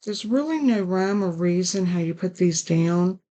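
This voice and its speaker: An older woman, raspy and hoarse from a sinus infection, hosting a casual card-making tutorial at her craft desk. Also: lowish voice, animated, unhurried, mellow. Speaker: card-making channel host.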